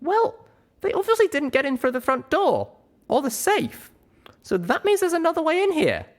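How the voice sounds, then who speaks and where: An earnest young pony heroine played by a man, pitched very high above an everyday male voice. The voice is plucky and girlish, made for a storybook adventure. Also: big pitch swings, moderate pace. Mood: inquisitive.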